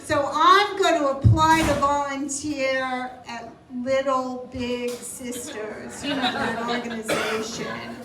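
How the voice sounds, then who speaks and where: A woman doing a live stand-up set, telling self-mocking stories in a loud, wry voice. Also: sits high, fairly even intonation, slow pace, hardly any pauses, background noise. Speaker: stand-up comedian. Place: small live venue with audience. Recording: roomy echo, distant mic.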